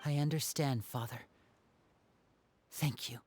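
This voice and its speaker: A man's voice: mid-range voice, fairly even intonation, slow pace, a little mellow, a little hiss or hum, natural voice. A man playing an earnest, loyal young adopted son in a video game, speaking formally and with determination. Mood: earnest, resolute.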